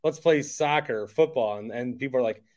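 A man's voice: medium pitch, moderate pace, darkish tone. A man hosting a podcast, chatting casually and prompting his guest with emphatic remarks. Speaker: podcast host. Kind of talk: casual interview chat.

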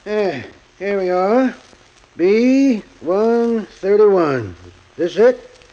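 A man voicing a nervous, halting baggage clerk in 1940s radio drama style. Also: animated, dark tone, full character voice. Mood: hesitant. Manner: halting, hesitant, high strained voice. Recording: dull lo-fi old radio recording.

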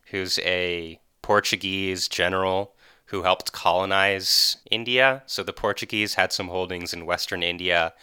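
An enthusiastic man chatting casually on a podcast, often saying "like." His voice is very low yet strikingly bright and sharp, smooth and clear. It is recorded close and dry. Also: fairly even intonation, unhurried, no room sound. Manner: enthusiastic, conversational, frequent "like". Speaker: podcast guest. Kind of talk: casual storytelling.

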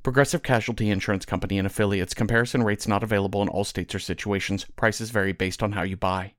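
A man's voice: fairly low pitch, fairly even intonation, fast talker, no room sound, studio quality. A man presenting casual, scripted promotional spots for a podcast in a wry, conversational manner.

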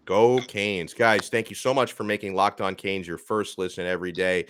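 A man in his thirties hosting a sports podcast in a casual, energetic chatty way. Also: sits low, normal speed, slight background noise. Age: 30s. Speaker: podcast host.

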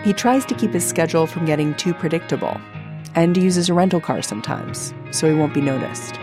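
A woman's voice: low voice, fairly quick, music in the background. An American woman reading scripted radio documentary narration on a close studio microphone.

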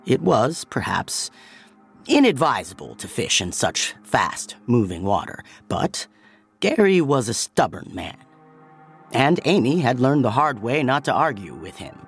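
An actor playing a storyteller who narrates a detective case file deliberately, with dramatic pauses, in an audio drama. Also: male voice, mid-range voice, sing-song, creaky, breathy edge, faint music behind, full character voice. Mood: grave, measured. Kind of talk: scripted case-report narration.

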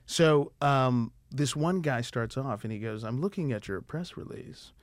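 A man from Washington, D.C., a guest actor and director, telling stories in a relaxed podcast chat and laughing often. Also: medium pitch, a little slow, no room sound. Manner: laughs often, animated anecdotes. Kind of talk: casual storytelling chat.

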